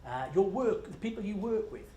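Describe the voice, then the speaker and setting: A man's voice: high voice, big pitch swings, brisk, mellow. Scottish man presenting in an echoey room. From Scotland. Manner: conversational, self-deprecating, many fillers. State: jet-lagged. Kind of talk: conference presentation. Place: large conference room. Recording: echoey conference room with faint noise.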